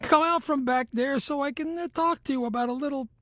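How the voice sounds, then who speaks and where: A man's voice: very high-pitched, a little fast, a bit bright, no echo, studio quality. A man playing a retired mobster in a comedy audio drama, dim and friendly with a faint menace, rambling in streetwise mob talk. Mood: coaxing, shady.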